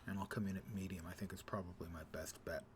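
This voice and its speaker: A man's voice: low voice, flat delivery, fast talker, noisy background. A middle-aged man giving casual live commentary on his game, thinking aloud as he plays. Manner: thinks aloud while playing.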